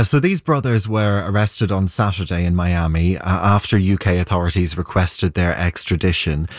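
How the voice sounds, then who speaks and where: A man's voice: low voice, no echo. A man giving a live news report in a radio studio.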